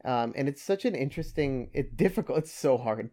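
A man's voice: medium pitch, lively intonation, brisk, mellow. A man co-hosting a casual podcast chat, rambling with frequent restarts and casual swearing. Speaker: podcast co-host.

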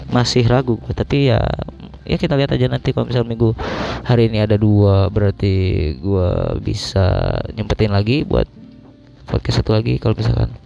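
A young man with a slightly hoarse voice, hosting a podcast alone in casual, colloquial, rambling talk as he thinks aloud. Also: lowish voice, expressive, normal speed, warm tone, highs cut off. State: slightly hoarse after illness. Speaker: podcast host.

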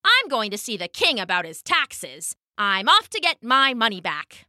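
A woman's voice: on the high side, very animated, normal speed, pushed and bright, no room sound, studio quality, full character voice. A woman voicing a cheerful, polite and determined little male duck for a children's storybook, with an eager, quacking way of talking. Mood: busy, firm. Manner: quacking chant, simple and eager.

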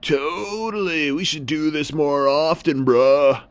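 A man's voice: fairly high pitch, no echo, pitched above the performer's own voice. A man voicing a friendly, laid-back male surfer seal who drawls in surfer slang. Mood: easygoing. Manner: surfer slang, drawn-out dude talk.

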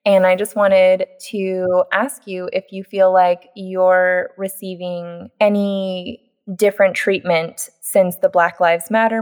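A woman hosting a podcast, talking in a relaxed, agreeable way with casual fillers. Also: on the low side. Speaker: podcast host.

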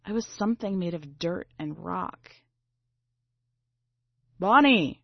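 A woman reading a literary short story aloud in a measured, dramatic way. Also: lowish voice, gravelly, slightly rough. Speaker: story reader. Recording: slightly dull, boxy roomy recording.

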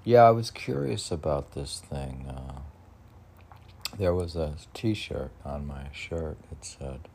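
A man rambling through a podcast of chat and poetry reading, halting and often talking to himself in questions and answers. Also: extremely low pitch, lively intonation, creaky, darkish tone. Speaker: poet reading and chatting on own podcast.

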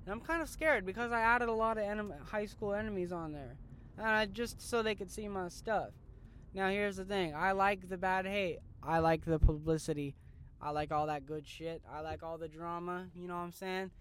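Young man, high voice, rambling, swearing, joking, car podcast, faint road noise. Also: normal speed, a bit bright. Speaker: podcast host. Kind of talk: casual car podcast chat. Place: in a moving car.

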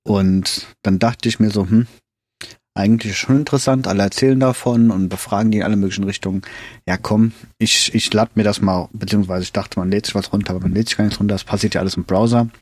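A man co-hosting a podcast in relaxed, casual chat, explaining things plainly and laughing easily. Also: fairly low pitch, quick pace, slightly rough, no room sound. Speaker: podcast co-host.

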